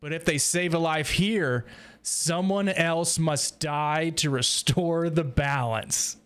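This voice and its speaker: A man's voice: a little high, slow pace, a bit bright, clean tone. A man hosting a roundtable, talking casually through discussion points with fellow fans. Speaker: podcast host leading a roundtable.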